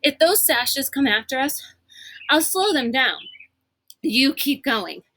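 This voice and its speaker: Woman voicing an urgent, high-pitched, exasperated girl heroine. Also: expressive, takes it slowly, pushed and bright, no echo, full character voice. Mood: urgent, commanding. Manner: breathless, urgent, bossy. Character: brisk, exasperated, athletic young girl heroine.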